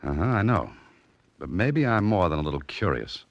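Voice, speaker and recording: Very deep, dry wisecracking adventurer. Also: male voice, sing-song, normal speed, creaky, dry recording, dull audio, heavily acted. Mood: wry, cool. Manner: dry, sardonic, clipped wisecracking. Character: wry, self-mocking adventurer and mystery writer, nobody's fool. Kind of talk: 1940s radio drama acting with hard-boiled narration.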